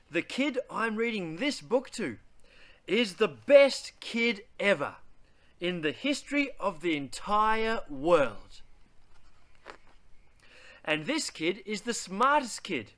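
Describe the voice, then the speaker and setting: A man plays a reluctant storybook grown-up, exasperated and melodramatic. The voice is high and bright, whining and swooping in slow, exaggerated phrases. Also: heavily acted. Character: exasperated, reluctant grown-up forced to read silly book. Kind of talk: children's storybook read-aloud.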